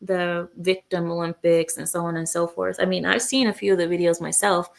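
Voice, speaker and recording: Woman with a gravelly, fry-tinged voice. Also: lowish voice, fairly even intonation, a little fast. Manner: blunt and opinionated, with frequent hesitations. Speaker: livestream host. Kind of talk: casual livestream chat, reading viewer comments.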